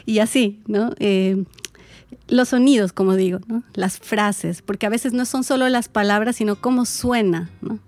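A woman's voice: mid-range voice, a little slow, darkish tone, dry recording. Reflective woman from Quito. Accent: Quito Andean Spanish. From Ecuador (Quito). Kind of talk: casual conversation.

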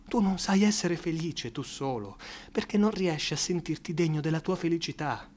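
A man acts out a devoted male friend in a theatrical radio play, with a high-pitched voice. He reasons and implores earnestly, protective and tender but exasperated, stressing his points. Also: normal speed, a little mellow, close to an everyday voice. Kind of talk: theatrical radio drama acting.

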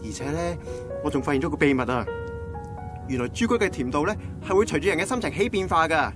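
A man's voice: fairly high pitch, fast, lightly acted. An actor playing a goofy, lovelorn 27-year-old male friend with a Hong Kong Cantonese accent, joking and comforting in a radio drama. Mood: joking, wistful. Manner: joking, animated. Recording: music behind the dialogue.

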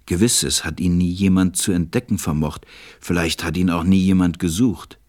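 A man acting a reflective, tender pilot who narrates a radio play in a measured, gentle way. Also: sits low, steady tone. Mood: pensive. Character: reflective adult male pilot, tender storyteller.

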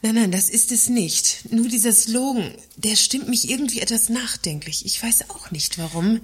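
An actress playing a combative, sharp-tongued works councillor in a satirical radio play, articulate and ironic. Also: female voice, a little high, normal speed, husky. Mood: pensive, reluctant.

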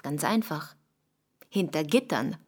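An actress playing a cool, calculating political confidante who speaks in a controlled, persuasive way in a radio drama. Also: female voice, sits low, animated, slow pace, breathy edge, heavily acted. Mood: cool, reassuring. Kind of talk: intimate radio drama dialogue.

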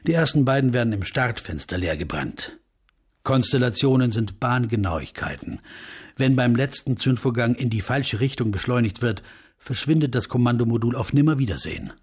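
An actor in a radio drama playing a blunt, matter-of-fact male rocket fuel expert with a husky voice. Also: medium pitch, expressive, normal speed, darkish tone. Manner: terse, blunt. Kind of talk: radio drama, scripted panel talk.

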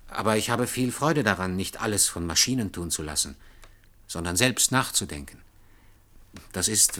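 An actor in a German radio play playing an innocent, independent-minded junior employee, earnest and protesting. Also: male voice, lowish voice, fairly bright tone.

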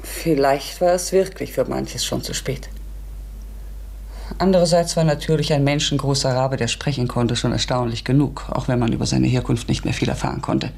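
A woman playing an eager, curious, ambitious narrator in a literary radio play, earnest and reflective with a husky, breathy voice. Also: very deep voice, steady tone, moderate pace, a little mellow, full character voice. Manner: earnest, reflective, politely hesitant.

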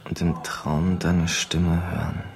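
Gravelly, very low weak patient. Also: male voice, little pitch movement, slow pace, darkish tone, full character voice. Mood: weak, tender. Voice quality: gravelly, faint. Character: weak, drowsy male patient, tender and dependent. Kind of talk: German radio play acting.

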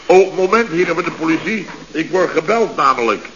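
An actor playing a flustered middle-aged contractor boss in a radio comedy, stammering, exclaiming and turning pompously polite. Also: male voice, fairly high pitch, expressive, brisk, vocal fry, a bit bright. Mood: flustered politeness. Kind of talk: Dutch radio comedy acting. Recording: dull, noisy old radio recording.

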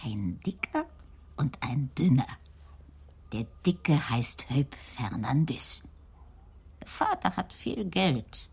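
An actress playing a frail, lonely, frightened old woman in a radio crime drama, rambling in halting, simple sentences with a husky voice. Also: deep voice, very animated, full character voice. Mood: anxious, hushed. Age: older. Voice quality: husky, creaky, breathy. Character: frightened, frail, lonely old Dutch widow, chattering about neighbours.

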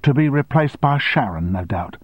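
A man voicing an indignant, sharp-tongued longtime woman secretary with cutting, sarcastic retorts, on a dry, slightly dull recording. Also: mid-range voice, expressive, moderate pace, breathy edge, no room sound, pitched above the performer's own voice.

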